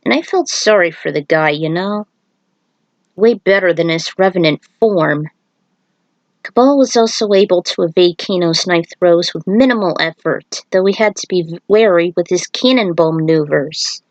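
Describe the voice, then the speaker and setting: A woman's voice: on the low side, moderate pace, dry recording, muffled recording. A woman reading her own fan fiction aloud, breaking into chatty, casual asides about it. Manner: chatty, self-commenting asides.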